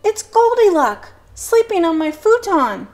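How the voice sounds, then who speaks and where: A woman's voice: very high voice, lively intonation, takes it slowly, gravelly, a bit bright, faint music behind, voice pushed up, full character voice. A woman reading a storybook and voicing a small, shy little boy who wails over his losses. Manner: wailing, then shy and kind.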